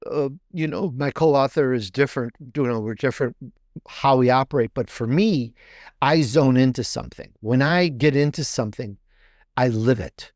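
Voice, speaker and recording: A man talks casually as an interview guest. His voice sits at a middle pitch and the sound is dry, with little room. He is emphatic and enthusiastic, often repeating a phrase to stress it. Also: moderate pace, darkish tone, no room sound. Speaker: guest author and podcaster.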